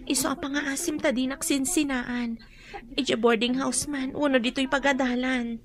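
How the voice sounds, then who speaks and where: A woman's voice: high-pitched, fairly even intonation, normal speed, lightly acted. A woman acting in an Ilocano radio drama as a worried young student from a feuding clan, earnest and anxious.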